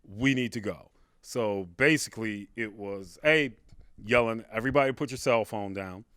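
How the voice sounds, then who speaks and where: A man with a big voice, a podcast guest, telling a personal story in a casual, halting, conversational way. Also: on the low side, fairly bright tone, smooth, dry recording, studio quality. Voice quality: big, carrying voice. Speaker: podcast guest, stand-up comedian.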